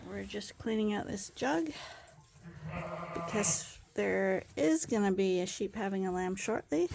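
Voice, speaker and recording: A woman's voice: medium pitch, expressive, unhurried, vocal fry, a little mellow. A woman sheep farmer explaining her barn work in a casual, easy-laughing way. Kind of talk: casual chat. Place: farm barn. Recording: faint barn background noise.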